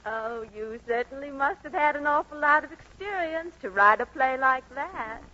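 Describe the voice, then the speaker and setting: Bright, high, giggly flirt. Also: female voice, normal speed. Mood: coy, giggly. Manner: giggly, coy, chatty. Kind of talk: old-time radio comedy acting. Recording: muffled old radio broadcast recording.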